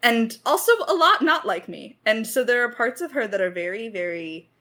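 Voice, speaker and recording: A woman's voice: fairly high pitch, expressive, normal speed, sharp tone. A woman talking casually and reflectively as a writer in a Q&A, with some self-deprecating humour. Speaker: lead writer of an audio drama. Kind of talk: casual Q&A discussion.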